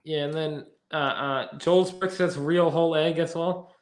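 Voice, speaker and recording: A man's voice: a little high, steady tone, measured pace, reverberant. A man hosting a casual podcast, chatting and reading out viewer comments. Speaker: podcast host reading live chat. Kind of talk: casual chat.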